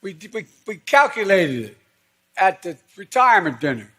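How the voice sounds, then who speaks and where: A brash old-hand American train conductor as a man acts him out in an anecdote. His voice is pushed up high and loud. He is chummy and exuberant, stretching words out for emphasis. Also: very animated, normal speed, exaggerated voice. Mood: emphatic boasting.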